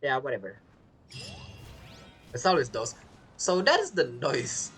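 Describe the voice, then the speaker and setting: A woman's voice: extremely low pitch, wildly swooping pitch, measured pace, creaky, slight background noise. A woman giving chatty, casual commentary while playing a game, with mumbled asides. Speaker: game streamer. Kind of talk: casual let's-play commentary.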